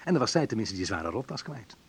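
A man's voice: on the low side, very animated, fast talker, husky, heavily acted. An actor playing a wry, sensitive young man who recalls his student love life in an intimate first-person radio play monologue. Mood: tense recollection.

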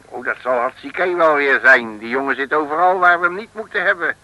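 High-pitched, brash streetwise detective. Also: male voice, fairly quick, bright, forceful tone, no room sound, rough recording. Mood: grumbling. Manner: slangy, blustering. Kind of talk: 1960s radio serial acting. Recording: old radio recording.

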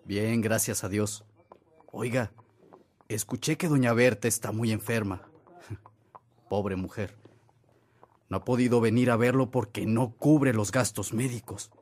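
A man playing a middle-aged, authoritative father and landowner in a radio drama, his voice slightly husky. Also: lowish voice, fairly even intonation, measured pace, long pauses, darkish tone. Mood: kindly, courteous. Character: stern but charitable Mexican father, landowner. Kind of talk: Spanish-language radio drama acting.

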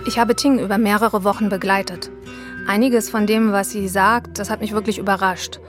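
A woman reporter giving scripted documentary narration in a calm, even, storytelling way. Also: mid-range voice, moderate pace, music in the background. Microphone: studio mic.

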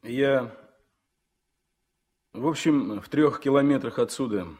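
An actor playing an earnest young Komsomol organiser in a Soviet radio play, speaking haltingly but persuasively. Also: male voice, mid-range voice, darkish tone, lightly acted. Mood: earnest, hesitant. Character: earnest, hesitant young Komsomol organiser pleading his cause. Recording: vintage 1970s mono radio recording.

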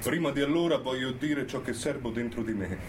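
Male actor as embittered long-term prisoner, middle-aged, mid-pitched, educated, insistent, brooding, radio drama, plainly noisy recording. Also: brisk, natural voice. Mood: grave, defiant. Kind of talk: dramatic radio theatre monologue.

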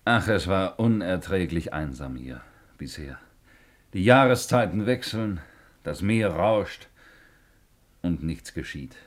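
An actor playing a self-important, uneasy, lonely Roman palace officer in a theatrical 1950s radio play style. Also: male voice, low voice, animated, takes it slowly, pauses often, heavily acted. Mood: weary, bitter.

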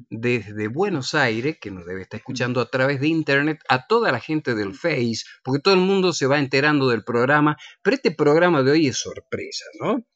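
An Argentine man hosts a radio show with a very animated, swooping delivery. He chats and teases playfully in a close, dry space. The sound is somewhat dull and lo-fi. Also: medium pitch, dry recording. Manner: playful, teasing. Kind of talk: conversational radio presenting with greetings and banter.

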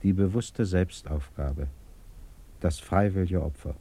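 Radio drama role: patient, morally earnest male inquisitor, deep and mellow with a rough grain, measured delivery. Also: exaggerated voice. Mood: solemn. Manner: measured, formal, deliberate. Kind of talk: German radio drama dialogue.

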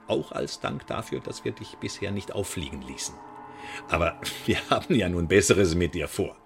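An actor playing a menacing, mock-polite secret-service interrogator in a radio drama, articulate and sardonic. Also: male voice, fairly low pitch, lightly acted.

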